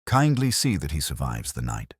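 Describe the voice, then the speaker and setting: A man voicing a coolly precise, commanding detective with a crisp, dry, clipped delivery in a story reading. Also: a bass voice, huge pitch swings, moderate pace, no echo, full character voice. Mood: commanding, analytical.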